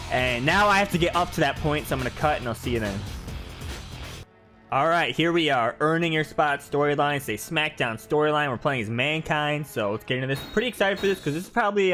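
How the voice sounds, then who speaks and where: A man's voice: a little high, a bit bright. A man giving casual commentary as host of a video game playthrough. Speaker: gaming host.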